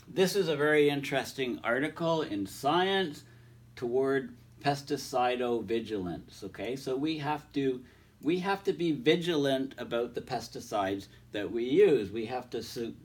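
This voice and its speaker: A man's voice: medium pitch, measured pace. Guy with a cold going thru papers on a big screen, lots of ums, mic kinda far off. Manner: rambling, many ums and restarts, reads passages aloud. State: has a cold, voice a bit off. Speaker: climate science video host. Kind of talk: casual explanation reading from papers on screen. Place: indoors in front of a large projected screen.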